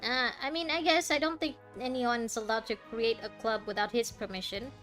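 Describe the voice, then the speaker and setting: A woman's voice: fairly high pitch, steady tone, moderate pace, a bit bright. A woman streaming a video game, giving casual, reactive commentary as she muses informally on what she plays. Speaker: gaming streamer. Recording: game music behind the voice.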